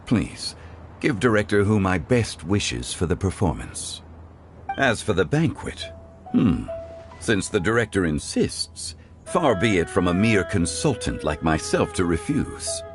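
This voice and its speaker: Calm scholarly gentleman, low voice, formal courtly phrasing, widely varied intonation, video game dialogue, music behind. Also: measured pace. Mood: courteous.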